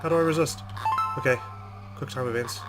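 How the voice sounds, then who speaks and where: A man's voice: medium pitch, fairly quick, loud music behind the voice. Man dramatically reading a game's text. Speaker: let's play host. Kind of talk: reading a visual novel aloud with commentary.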